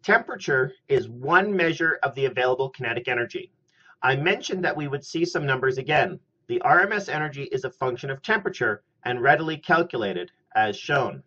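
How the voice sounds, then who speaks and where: A middle-aged man giving a scripted chemistry lecture at a steady, methodical pace, recorded on a webcam in a small room. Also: mid-range voice. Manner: measured, methodical explaining. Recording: slightly muffled lo-fi audio.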